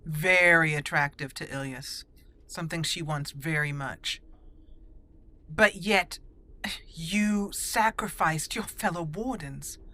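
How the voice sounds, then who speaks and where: A woman's voice: low voice, slow, pushed and bright. A woman narrating a fantasy game roleplay in a thoughtful, earnest way, speaking in character between her explanations.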